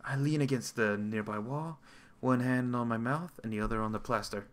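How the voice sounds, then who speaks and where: A man reading a visual novel aloud as dramatic narration, expressive and sometimes stumbling over the script. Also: medium pitch, moderate pace.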